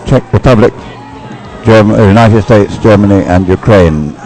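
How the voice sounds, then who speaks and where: A man's voice: on the low side, a little slow, a little mellow, faint music behind, some chatter behind, rough recording. A man giving live race commentary in a rambling, hesitant, informal way, explaining as it goes. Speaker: sports commentator.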